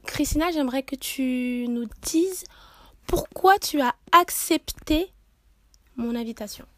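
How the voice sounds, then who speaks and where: A woman's voice: high voice, takes it slowly, no room sound, clean studio sound. A young woman hosting a podcast interview, asking long, earnest questions with frequent fillers. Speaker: podcast host.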